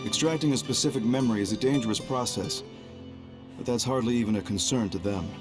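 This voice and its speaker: A man playing a composed, calculating young double agent in a video game, speaking in a measured, formal, grave way. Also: mid-range voice, music in the background, close to an everyday voice. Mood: calm, businesslike. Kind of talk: anime-style video game cutscene acting.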